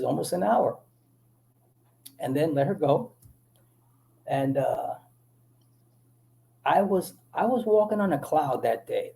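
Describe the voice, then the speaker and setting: Gravelly, mellow-voiced man telling stories. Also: fairly high pitch. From Dominican Republic. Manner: animated storytelling, many restarts. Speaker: actor guest. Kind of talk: casual interview talk.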